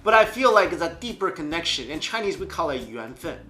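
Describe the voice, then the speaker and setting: A man's voice: on the high side, big pitch swings, fast talker, over music. A young man co-hosting a casual chat, giving opinions earnestly and repeating phrases as he reasons. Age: young adult. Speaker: co-host of a news chat show. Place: small furnished room indoors, on a couch.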